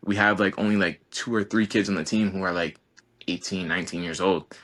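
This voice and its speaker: Low-voiced young man rambling casually. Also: steady tone, fairly quick, a bit bright. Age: 20s. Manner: rambling, heavy use of "like", earnest. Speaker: college basketball player, guest. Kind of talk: casual podcast conversation.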